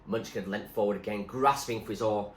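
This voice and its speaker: A man reading a story aloud in an expressive, suspenseful narrating style. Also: lowish voice, a little fast. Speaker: reader, teacher. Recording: echoey room with faint background noise.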